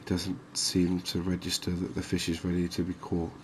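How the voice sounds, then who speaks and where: A soft-spoken man giving unhurried, soothing ASMR commentary over a video game. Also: sits low, monotone, slight background noise. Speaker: gaming video host.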